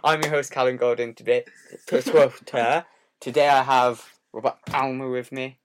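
A man's voice: mid-range voice, fairly even intonation, moderate pace, clear, resonant tone. Casual young man hosting. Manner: swears freely, stammers on restarts. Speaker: podcast host. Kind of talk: casual gaming podcast chat.

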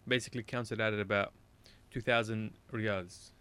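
A man's voice: on the low side, fairly even intonation, moderate pace, a bit bright, smooth. Rambling man telling a story. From Iraq. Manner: rambling, self-correcting, laughs at himself. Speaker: radio show guest. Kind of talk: casual anecdote storytelling.

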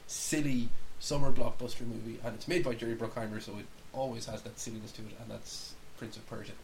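A man's voice: medium pitch, steady tone, fast talker, darkish tone, poor audio. A man chatting casually about films as a podcast host, rambling and thinking aloud. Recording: hissy background noise.

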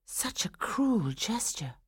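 A woman's voice: medium pitch, fairly even intonation, unhurried, warm tone, husky, dry recording, voice pushed up. A woman voicing a jealous, heartbroken young bride-to-be in a storybook tale, wounded and dramatic. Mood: despairing.

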